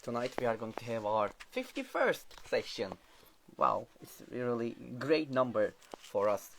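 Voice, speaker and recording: A man's voice: mid-range voice, very animated, a little slow, creaky, a bit bright, slight background noise. A man hosting an online teacher talk show over a video call, friendly and hesitant with many fillers and nonnative phrasing. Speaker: host.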